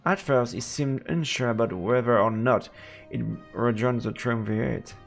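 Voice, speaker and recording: A man's voice: medium pitch, moderate pace, creaky. A man livestreaming a visual novel, switching between casual muttered commentary and reading the narration aloud over game music. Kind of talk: casual let's play chat mixed with read-aloud narration.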